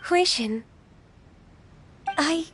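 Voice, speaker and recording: A woman playing a gentle, dutiful, youthful-sounding immortal secretary in a video game, speaking softly and earnestly. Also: extremely high pitch, expressive, very slow, slight background noise, heavily acted. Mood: moved, wistful. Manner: soft-spoken, earnest. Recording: music behind the voice.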